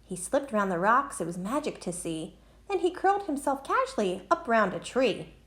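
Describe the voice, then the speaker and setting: A woman with a fairly high voice reads a rhyming children's book. Her pitch moves widely, giving the rhythmic narration an animated, expressive feel. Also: moderate pace. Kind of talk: expressive children's picture book read-aloud.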